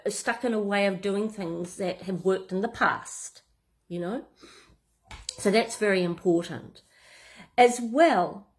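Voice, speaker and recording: Conversational New Zealand businesswoman. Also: medium pitch. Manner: relaxed and conversational, with frequent fillers and restarts. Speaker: business coach. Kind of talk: talking-head business tips.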